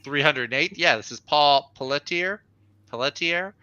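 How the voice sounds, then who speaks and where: A man's voice: fairly high pitch, unhurried, pushed and bright, clear, resonant tone, dull audio. A man chatting casually and enthusiastically as he reviews on a livestream show, informal and unscripted.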